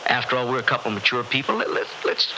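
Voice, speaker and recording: A male actor plays a mature, self-made businessman out of Texas, hearty and teasing. His mid-range voice swings freely in pitch as he shrugs off high society. It is 1960s radio drama acting, on a clearly noisy old recording. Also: big pitch swings, very fast, natural voice. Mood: teasing, defensive.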